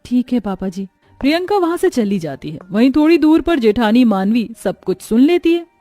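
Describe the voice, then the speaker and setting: A woman's voice: high-pitched, big pitch swings, a little fast, dark tone, no room sound, soft background music. A woman giving scripted narration of a family story.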